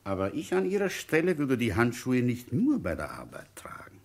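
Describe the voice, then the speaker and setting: An actor playing a methodical, dryly patient village police commissioner who thinks aloud conversationally in a German radio drama. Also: male voice, mid-range voice, huge pitch swings, moderate pace, gravelly, full character voice. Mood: patient, probing. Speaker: narrator and lead detective in a radio play. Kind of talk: German radio drama, intimate first-person narration.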